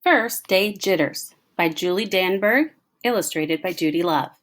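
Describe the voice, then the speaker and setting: A woman narrating a children's picture book aloud in an expressive read-aloud style. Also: fairly low pitch, a little slow. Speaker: storybook reader.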